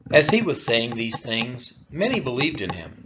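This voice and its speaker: A man reading scripture aloud in a solemn style, with deliberate, emphatic phrasing and a dull, lo-fi sound. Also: medium pitch, huge pitch swings, noisy background. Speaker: scripture reader.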